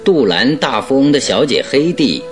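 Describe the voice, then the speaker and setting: An actor in a radio drama playing a genial, self-assured detective with a teasing, politely probing manner. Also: male voice, medium pitch, sing-song, moderate pace, faint music behind, close to an everyday voice. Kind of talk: Chinese radio drama acting.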